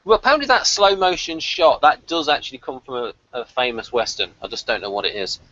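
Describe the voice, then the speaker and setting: A man's voice: medium pitch, huge pitch swings, quick pace, sharp tone, highs cut off. A man hosting a casual fan review, chatty and rambling as he thinks aloud. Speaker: host of a TV review discussion.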